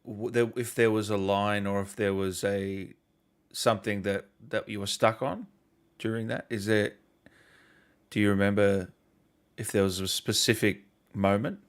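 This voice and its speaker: A man with a low voice hosts a casual gaming interview. He keeps it easygoing, saying "I reckon" and asking follow-up questions. The chat sounds like it runs over a call. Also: steady tone, measured pace, clean tone. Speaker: gaming channel host.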